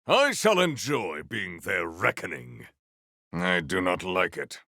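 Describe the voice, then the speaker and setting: An actor playing a proud, formal, honor-bound warrior in a video game, with archaic, theatrical diction. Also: male voice, deep voice, big pitch swings, takes it slowly, sharp tone, dry recording, clean studio sound, heavily acted. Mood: composed, stately.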